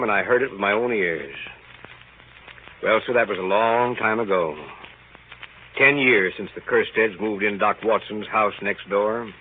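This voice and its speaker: A folksy, wry older townsman played by a man reminisces in 1930s radio style. His voice sits fairly low, and the vintage recording is tinny with a faint hiss. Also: natural voice. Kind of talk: 1930s radio acting, storytelling.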